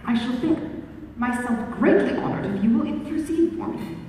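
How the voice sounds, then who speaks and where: A woman playing a pushy, flattering gallant. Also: high voice, moderate pace, slight background noise, voice pushed up. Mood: insistent. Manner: eager, effusive, persistent gallantry. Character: bold flattering young gentleman suitor. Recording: echoey hall, mic far off.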